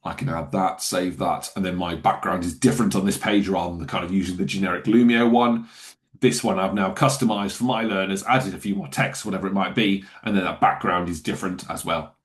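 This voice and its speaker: A man demonstrates software in a live webinar, his voice on the lower side. He talks casually, with loose phrasing, fillers and quick self-corrections. It sounds like a desk or headset mic on a call. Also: steady tone. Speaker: software trainer. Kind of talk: webinar screen-share walkthrough.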